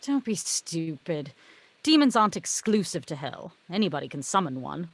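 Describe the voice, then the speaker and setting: Woman as a knowing muse from heaven, lowish voice, wry n a bit exasperated, script read. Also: very animated, moderate pace, full character voice. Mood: exasperated, dry. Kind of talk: table read of a film script.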